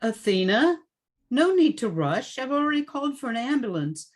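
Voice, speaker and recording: A skeptical woman who takes charge, played by a woman in a radio play. She is brisk and dismissive, with dry delivery and lively swings in pitch.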